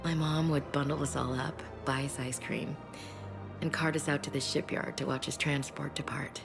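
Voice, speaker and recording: A woman's voice: sits low, fairly even intonation, moderate pace, gravelly. An actress playing a tough, wry female soldier in a video game, talking informally to her commander. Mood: wistful, fond. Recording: background music.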